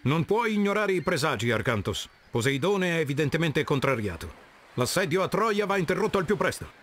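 Worried pushy advisor in a video game, highish voice, soft music under it. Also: male voice, sing-song, brisk. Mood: insistent. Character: anxious, insistent counselor of Atlantis fearing omens. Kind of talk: dubbed video game cutscene acting.

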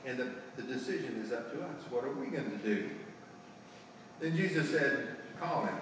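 A man's voice: on the high side, fairly bright tone, slight background noise. Older man preaching in an echoey church. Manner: folksy, asks rhetorical tag questions. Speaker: lay preacher. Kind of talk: sermon. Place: large church sanctuary, indoors. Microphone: far camera at the back of the sanctuary. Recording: echoey church hall, distant camera.

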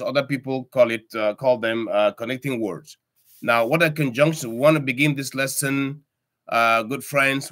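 An older man in his sixties, recording a language lesson at a webcam in his home study, speaking haltingly with many fillers and restarts as he addresses viewers as friends. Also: medium pitch, sharp tone. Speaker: language tutor. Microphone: webcam at desk.

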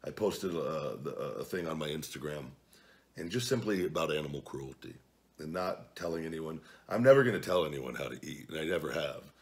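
A man in his forties chatting casually at home as a vlog host, reading viewer comments into a desk camera. He is blunt and profane, jumping from low mutters to loud outbursts. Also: expressive, brisk. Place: home living room at night, seated at a table.

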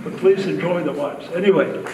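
An older man with a Swiss accent gives an informal, dry-humored toast at a dinner, speaking in halting phrases into a handheld mic in a large barn. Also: on the high side. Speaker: winery owner giving a dinner toast. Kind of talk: informal after-dinner speech. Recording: echoey barn, distant mic, crowd noise.

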